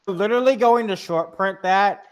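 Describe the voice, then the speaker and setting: A man's voice: sits high, normal speed, clear, resonant tone. A man chatting casually about card games, blunt and sweary, often repeating himself. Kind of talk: casual card game chat. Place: online group voice call.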